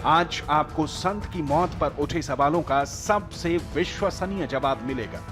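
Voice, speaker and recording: A man's voice: a little high, unhurried. An Indian man reading a scripted, dramatic news report as a voice-over. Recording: music bed behind voice. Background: background music.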